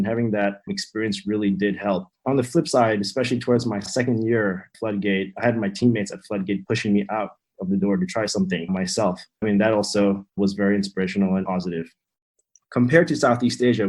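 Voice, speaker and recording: A man from Thailand, a company co-founder and CEO, giving reflective, measured interview answers. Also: lowish voice, a little mellow, poor audio.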